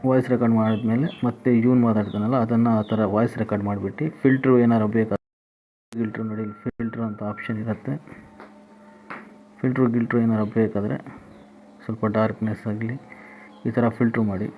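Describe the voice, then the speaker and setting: A man's voice: on the low side, steady tone, a little fast, pauses often. A man giving a step-by-step app tutorial as a voice-over, repeating each instruction as he goes. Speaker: tutorial host. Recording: tinny phone-like band, faint noise.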